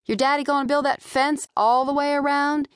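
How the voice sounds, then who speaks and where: A woman voicing a friendly middle-school boy who calls out briskly and casually in an audiobook. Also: sits high, level tone, dry recording, clean studio sound, voice pushed up, natural voice.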